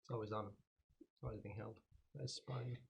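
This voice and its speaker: A man's voice: on the low side, steady tone, brisk, creaky, husky, muffled recording. A man chatting casually as a player in a tabletop game.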